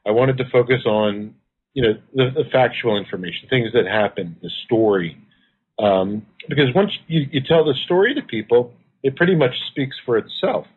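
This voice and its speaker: A man's voice: mid-range voice, expressive, normal speed, slightly rough. A man being interviewed as a guest over the phone, explaining at length in an earnest way. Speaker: guest, activist and researcher. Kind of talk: interview answers. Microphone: phone line. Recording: tinny phone line.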